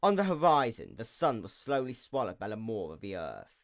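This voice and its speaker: A man's voice: lowish voice, wildly swooping pitch, normal speed, vocal fry. Man narrating fantasy with sweeping intonation. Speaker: story narrator. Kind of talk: scripted dramatic narration of a fantasy story.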